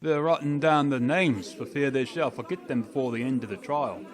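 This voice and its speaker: A man's voice: mid-range voice, moderate pace, lightly acted. A man playing a dry, knowing onlooker at a trial in a radio play.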